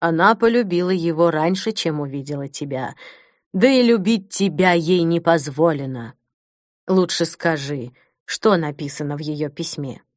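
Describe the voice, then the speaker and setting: Female narrator as wise old foster mother, low pitch, measured, grave, dry recording. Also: animated, a little mellow, no echo. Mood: grave, consoling. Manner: measured, solemn, counselling. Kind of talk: audiobook character acting.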